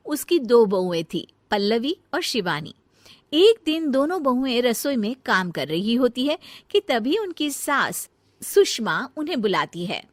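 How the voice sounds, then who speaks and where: A woman delivers scripted narration for a family cartoon story. Her pace is slow and the sound is dry, with no room echo. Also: on the high side.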